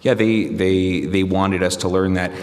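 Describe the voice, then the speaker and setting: Deep-voiced man recounting memories, reflective. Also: fairly even intonation, a little slow, long reverb tail. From United States. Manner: reflective, hesitant with many fillers and restarts. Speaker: journalist guest. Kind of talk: conversational interview answers.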